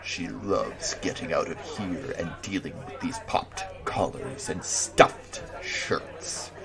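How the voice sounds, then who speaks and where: An actor playing a huge gruff werewolf gang boss, gravelly, deadpan and teasing, in an audio drama. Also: male voice, deep voice, takes it slowly, a crowd in the background, exaggerated voice. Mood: amused, taunting.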